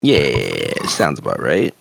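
A man co-hosting a podcast in casual chat, excitable and quick to laugh. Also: a bass voice, creaky, very noisy. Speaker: podcast co-host.

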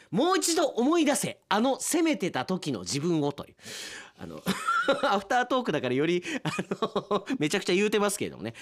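A man's voice: fairly high pitch, fairly bright tone. A man hosting chatty radio after-show talk, reading out submissions with playful asides. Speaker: radio host and announcer.

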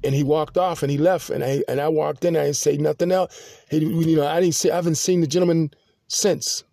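A man hosting a podcast, telling a long personal story in a halting, rambling monologue full of restarts and fillers. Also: a little high, steady tone, vocal fry, mellow, no echo, studio quality. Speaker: podcast host.

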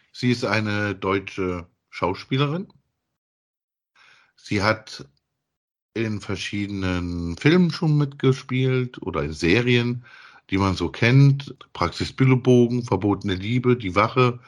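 A man co-hosting a casual podcast, speaking in a halting, deliberate way. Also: on the low side. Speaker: podcast co-host.

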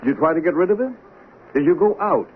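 An actor in a radio drama playing a persistent, coaxing police sergeant who questions earnestly and insistently. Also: male voice, a little high, expressive, fast, natural voice. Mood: pressing, accusing. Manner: insistent, probing, persuasive. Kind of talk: 1970s radio drama acting. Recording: tinny narrowband old radio, faint hiss.

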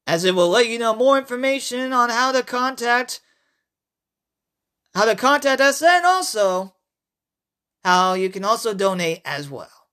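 A podcast host reading a horror story and promotional notes in a halting way, repeating phrases. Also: medium pitch, big pitch swings, sharp tone.

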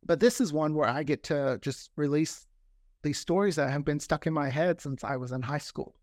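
Higher-voiced, enthusiastic man, closely recorded. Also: moderate pace, darkish tone, dry recording, studio quality. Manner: enthusiastic, chatty, often quoting imagined dialogue. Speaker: podcast creator, interview guest. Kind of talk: casual chat.

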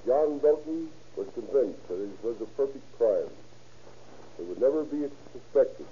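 A man's voice: medium pitch, animated, a little slow, dark tone. A man reading a scripted address stiffly and formally as a police captain on 1930s radio. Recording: muffled, noisy 1930s radio recording.

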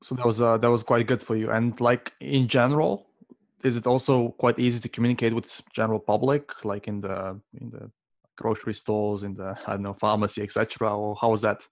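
A man's voice: on the low side, steady tone, brisk, creaky. A man hosting an interview, asking casual follow-up questions in a conversational way. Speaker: host.

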